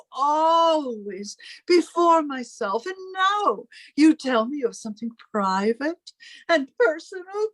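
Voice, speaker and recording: High, swooping, possessive older sister played by an actress. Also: female voice, no room sound. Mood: wounded, reproachful. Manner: theatrical, reproachful, wheedling. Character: possessive, sanctimonious, guilt-tripping older sister Emma. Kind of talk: old-time radio melodrama acting.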